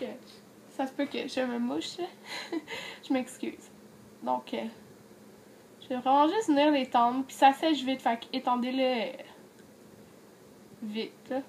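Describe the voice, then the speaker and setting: A woman's voice: high voice, a little hiss or hum. A young woman with a Quebec French accent, chatting casually through a makeup tutorial while she has a cold, with lots of fillers. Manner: chatty, many fillers, addresses viewers directly. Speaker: beauty vlogger.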